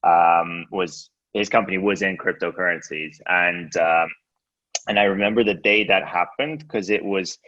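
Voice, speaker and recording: A man from Estonia talks in a very low voice, stopping and restarting his sentences and filling the gaps with "uh" and "um". The sound is dull and lo-fi, and the pitch stays deep throughout. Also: moderate pace, a bit bright. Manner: hesitant, many fillers and restarts. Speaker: guest, exchange co-founder. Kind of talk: casual interview.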